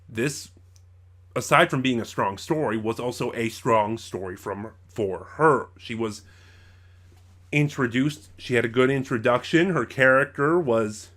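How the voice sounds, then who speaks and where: A man presents an informal review as host of his own show. He talks conversationally and haltingly, often breaking off and rewording what he has just started to say. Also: medium pitch, fairly bright tone. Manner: halting, conversational, circles back and rephrases. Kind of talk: informal review to camera or mic, loosely scripted.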